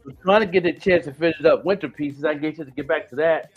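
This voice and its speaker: A man's voice: fairly high pitch, quick pace, breathy edge, muffled recording, faint music behind. A man in his late forties talking through a casual podcast chat, rambling with lots of restarts and fillers. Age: 40s. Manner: rambling, many restarts and "you know what I'm saying". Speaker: podcast host.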